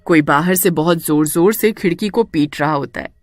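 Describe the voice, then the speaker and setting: A woman's voice: deep voice, normal speed, soft background music. A woman narrating a scripted horror story.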